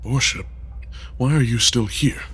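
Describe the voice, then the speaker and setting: An actor playing a brooding, overworked and socially awkward leader in an audio drama, speaking haltingly with sighs and a husky voice. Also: male voice, mid-range voice, fairly bright tone. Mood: weary, embarrassed. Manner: halting, deliberate, sighing.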